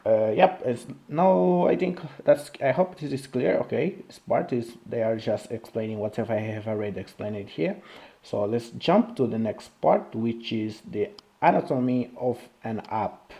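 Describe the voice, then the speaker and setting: Mellow-voiced man with non-native English accent. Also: mid-range voice, vocal fry. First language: not English. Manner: halting, repetitive, often asks "okay?". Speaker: programming video creator. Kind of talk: informal technical tutorial.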